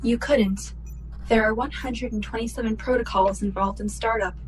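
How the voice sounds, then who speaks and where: A woman playing a logical, guilt-ridden female ship's computer in a sci-fi radio play, speaking in formal, measured phrases. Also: fairly high pitch, steady tone, close to an everyday voice, low-quality recording. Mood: matter-of-fact. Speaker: actor in a student radio play.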